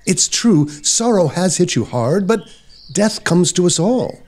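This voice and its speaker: An actor playing a kindly, sensible brother-in-law in a Victorian audio drama, theatrical and reassuring. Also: male voice, sits high, very animated, a little slow, a little mellow, full character voice. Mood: consoling.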